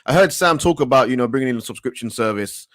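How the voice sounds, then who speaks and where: A man's voice: medium pitch, expressive, a bit bright, dry recording. Male co-host, casual investor chat, stammering restarts, dry close sound. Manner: halting, repeats words, many fillers. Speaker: livestream host.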